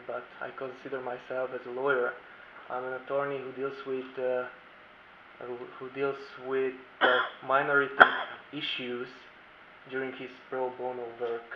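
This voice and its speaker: A lawyer from Slovakia whose first language is Hungarian gives a formal conference presentation, keeping close to his notes and phrasing things with care. His voice carries the echo of a large conference room. The recording is dull, with a faint hiss underneath. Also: mid-range voice. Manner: formal, reads from notes, careful non-native phrasing.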